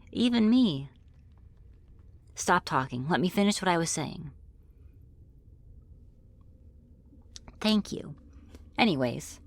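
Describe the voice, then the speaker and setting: A woman voicing a friendly, teasing female demon in an ASMR roleplay, with casual, politely menacing delivery. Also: lowish voice. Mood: mock menace. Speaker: roleplay voice performer. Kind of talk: ASMR roleplay, scripted one-sided dialogue.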